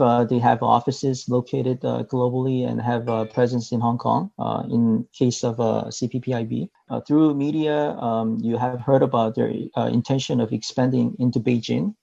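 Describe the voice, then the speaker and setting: A man from Korea with a Korean accent, answering interview questions in careful English with many filler pauses. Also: medium pitch, little pitch movement, vocal fry, dark tone, slightly rough. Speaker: interview guest. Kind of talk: recorded video call interview. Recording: dull muffled audio.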